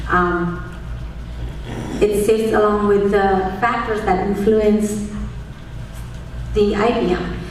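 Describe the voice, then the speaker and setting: A woman presents at a conference in a measured, friendly way, pausing often with "um". Her voice is at a middle pitch and is picked up from some distance away. The hall is very echoey, with faint noise underneath. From Nepal. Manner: measured, many "um" pauses, friendly and explanatory. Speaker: extension entomologist speaking at a conference.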